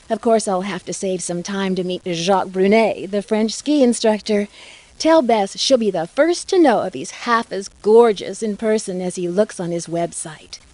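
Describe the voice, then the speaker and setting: A woman voicing a plucky, curious young detective in a video game, with a clear, earnest storytelling delivery. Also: medium pitch, darkish tone, no room sound, close to an everyday voice, low-quality recording. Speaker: game protagonist. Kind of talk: letter narration and game dialogue acting.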